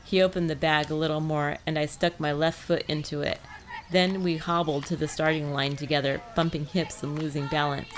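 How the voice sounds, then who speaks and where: A woman's voice: low-pitched, steady tone, brisk, a little hiss or hum. A woman reading scripted first-person fiction as an audiobook narrator.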